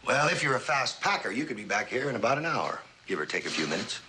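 An actor playing a confident, persuasive writer and lover in a mid-century suspense drama. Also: male voice, mid-range voice, big pitch swings, fast, bright, forceful tone, a little hiss or hum. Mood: teasing.

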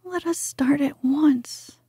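A woman voicing a shy, wide-eyed young male mole, speaking in a soft whisper. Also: high-pitched, slow, dry recording, voice pushed up. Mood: shy wonder. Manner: whispered, timid.

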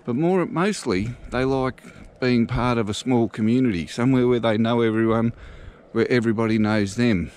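A man giving a relaxed, casual walking tour outdoors, thinking aloud as he goes. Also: mid-range voice, moderate pace, few pauses. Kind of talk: casual walk-and-talk narration. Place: outdoors walking through a small country town.

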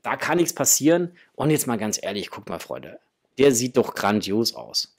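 A middle-aged man with a slightly husky voice, chatting casually and enthusiastically to viewers as the host of an unboxing video. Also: mid-range voice, very animated, moderate pace. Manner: enthusiastic, effusive. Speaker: host of a toy collecting channel. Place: small room at home with framed posters, indoors.

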